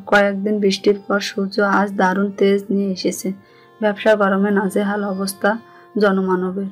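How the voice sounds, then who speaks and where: A woman with a Bangladeshi accent reading scripted narration of a romantic story. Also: mid-range voice. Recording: music under the voice.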